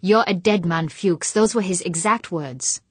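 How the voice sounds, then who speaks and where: A woman's voice: fairly low pitch, measured pace, breathy edge, no echo. A woman voicing a bitter, enraged husband who snaps and growls his lines in an audiobook. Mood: furious. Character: furious middle-aged male husband.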